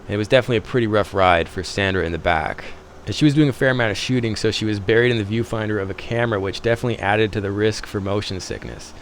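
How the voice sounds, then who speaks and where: A man's voice: lowish voice, very fast, a little hiss or hum. A man narrating a vlog as a pilot, chatty, informal and self-deprecating. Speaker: private pilot and video host.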